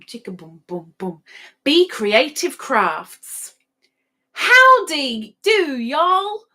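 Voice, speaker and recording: A woman's voice: a little high, bright, forceful tone. A woman hosting a casual livestream, chatting playfully with sing-song asides and reading out notices to viewers. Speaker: livestream host. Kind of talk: casual live chat, reading out viewers' adverts.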